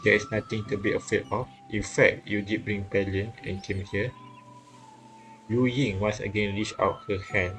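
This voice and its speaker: A man reading and translating a visual novel aloud, haltingly, often correcting himself and repeating phrases. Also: on the low side, level tone, low-quality recording. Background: game music.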